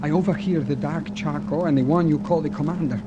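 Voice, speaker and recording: An actor playing an earnest, apologetic young South American sidekick in a radio serial, with a Spanish accent and formal, halting broken English. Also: male voice, on the high side, lightly acted. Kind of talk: old-time radio adventure serial acting. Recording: very noisy old radio serial recording.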